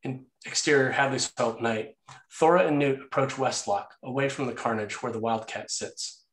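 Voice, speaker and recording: Guy reading screenplay action lines as narrator, normal pitch, echoey room. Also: moderate pace.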